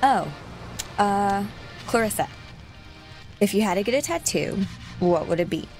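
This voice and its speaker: Game role: mild, shy teenage girl, halting slow delivery over light music. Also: medium pitch, expressive, a little mellow, low-quality recording. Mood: tentative. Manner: halting, tentative. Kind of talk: naturalistic video game voice acting.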